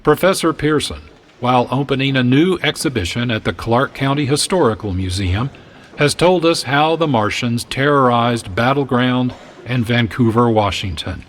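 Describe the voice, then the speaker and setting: A man working as an announcer, giving formal, measured scripted introductions for a radio drama. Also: mid-range voice, a little hiss or hum.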